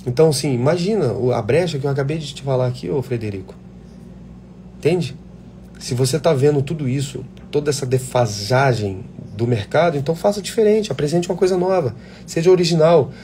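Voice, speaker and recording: Actor guy giving blunt fired-up advice on a live, mellow voice, faint hiss behind. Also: fairly high pitch, lively intonation, brisk. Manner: blunt, swears freely, passionate. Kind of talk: casual live chat answering viewer questions.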